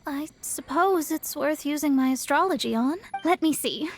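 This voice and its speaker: A proud young astrologist. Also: female voice, high voice, moderate pace. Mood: composed. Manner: haughty, stammers when cornered. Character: proud young female astrologist, haughty yet flustered. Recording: game voice track over soft music.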